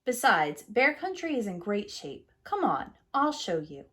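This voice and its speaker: A woman's voice: medium pitch, very animated, a little slow, fairly bright tone, slight background noise. A woman voicing a breezy, dismissive father bear in a storybook, folksy and self-assured.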